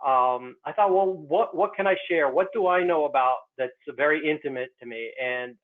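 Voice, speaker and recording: A man's voice: on the high side, normal speed. A man, an artist, speaking in a casual online talk, hesitant and full of fillers. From United States. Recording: tinny phone-like line.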